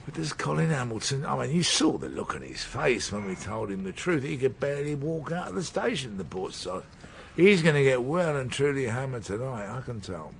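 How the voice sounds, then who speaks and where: An actor playing a weary, wry older police detective with a dry, understated manner. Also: male voice, mid-range voice, big pitch swings, moderate pace, vocal fry, slight background noise. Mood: grim, weary. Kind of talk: naturalistic radio drama dialogue.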